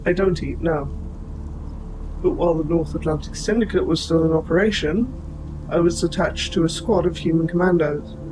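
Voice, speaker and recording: A man voicing an old military robot, formal, precise and gently protective, in a scripted audio roleplay addressed to the listener. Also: a little high, lightly acted, rough recording. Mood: calm, informative. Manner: formal, precise, measured phrasing. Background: music.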